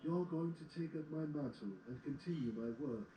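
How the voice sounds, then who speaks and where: A man playing a solemn, world-weary old stallion mentor in an audio drama, speaking in measured, formal phrases. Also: a little high, warm tone, lightly acted. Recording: echoey, distant playback with background noise.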